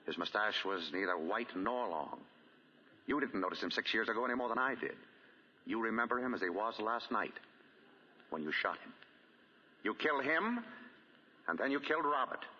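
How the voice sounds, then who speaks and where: An actor playing a shrewd, composed amateur detective in 1940s radio drama style, with probing, deliberate questioning. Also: male voice, fairly low pitch, lively intonation, pushed and bright, slightly rough, long reverb tail, heavily acted. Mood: grave, accusing. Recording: dull, lo-fi 1940 radio broadcast.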